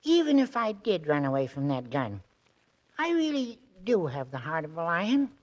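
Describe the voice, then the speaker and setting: An actor in a 1940s radio drama playing a timid, boastful, hesitant and naive detective. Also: male voice, on the high side, huge pitch swings, normal speed, lo-fi sound. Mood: sheepish. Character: timid, boastful male detective, sweet and hapless.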